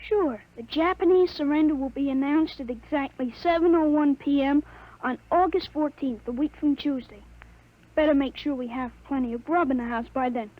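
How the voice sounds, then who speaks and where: A woman playing a thirteen-year-old boy with a grown man's mind in a 1950s radio drama, speaking calmly and matter-of-factly on a dull, lo-fi old recording. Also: high-pitched, normal speed, lightly acted. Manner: calm, matter-of-fact, self-assured.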